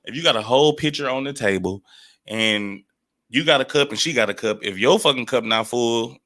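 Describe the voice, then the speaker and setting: A man in his late twenties talks in loose, blunt, profane sentences. His voice has a bright, forward edge. He sounds laid-back as he reasons things out on a podcast. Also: medium pitch, brisk. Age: 20s. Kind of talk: casual chat.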